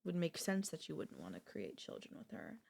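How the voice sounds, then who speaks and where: A woman playing an obsessive, possessive sister-in-law in an intimate ASMR audio roleplay, coolly confessing her love with deliberate pauses. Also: low voice, wildly swooping pitch, gravelly, darkish tone, husky, full character voice. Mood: cold disdain. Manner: slow, deliberate, with long pauses.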